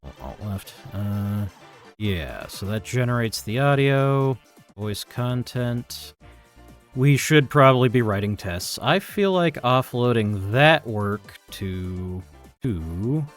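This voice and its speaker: A man's voice: mid-range voice, expressive, slow, faint music behind. A man chatting casually as he codes on a livestream, muttering to himself and grumbling with some swearing. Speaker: livestreaming programmer. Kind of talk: casual chat while live coding.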